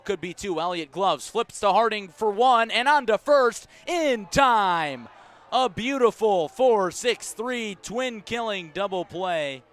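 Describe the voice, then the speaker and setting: Male baseball radio play-by-play announcer. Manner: measured between pitches, rising to excited calls on action. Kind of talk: live baseball play-by-play commentary. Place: outdoor ballpark broadcast.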